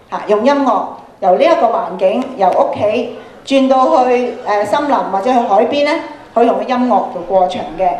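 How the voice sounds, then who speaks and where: A woman's voice: on the high side, a little mellow. A middle-aged woman with a Hong Kong Cantonese accent, speaking as an encouraging workshop leader to an audience through a handheld microphone on a stage. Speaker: workshop speaker, radio programme producer. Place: school auditorium stage. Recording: echoey hall through PA microphone.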